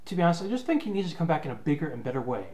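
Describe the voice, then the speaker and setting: A man's voice: a little high, big pitch swings, rapid-fire, mellow. A man giving sarcastic review commentary, wry and wordy in his complaints. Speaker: comic reviewer.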